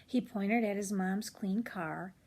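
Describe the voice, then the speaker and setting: Husky older woman reading a children's storybook. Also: medium pitch, slow pace, darkish tone. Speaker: children's read-aloud host. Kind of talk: expressive storybook narration with chatty asides to children.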